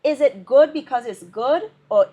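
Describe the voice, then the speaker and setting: A woman's voice: high voice, animated, measured pace, dark tone, breathy edge. A woman from the Virgin Islands with a Caribbean accent, a musician speaking candidly and casually as a podcast guest. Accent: Virgin Islands Caribbean English. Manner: candid, laid-back, slangy.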